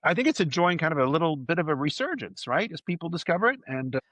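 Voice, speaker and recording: A man's voice: on the high side, sing-song, fast, a bit bright, no room sound. A man presenting a fan news segment as a podcast host, partly scripted, with playful enthusiasm and wry asides.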